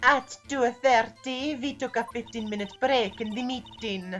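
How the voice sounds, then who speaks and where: Female game villain, woman acting: highish voice, icy, curt, imperious, threatening. Light music bed. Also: a little slow, lightly acted. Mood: cold, disdainful. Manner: curt, disdainful. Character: cold, menacing, imperious female studio producer. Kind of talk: video game fan dub acting. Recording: faint background music behind voice.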